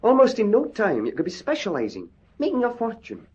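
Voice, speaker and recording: An actor playing a proud, bitter and intense ex-convict in an old radio drama, with theatrical, impassioned delivery. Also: male voice, sits high, wildly swooping pitch, a little fast, darkish tone, husky, exaggerated voice. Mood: brash, ambitious. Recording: dull, lo-fi old radio recording.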